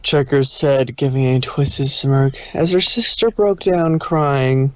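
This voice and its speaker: A man's voice: mid-range voice, steady tone, slow, dry recording. A man reading scripted fan fiction as the narrator of a dramatic story.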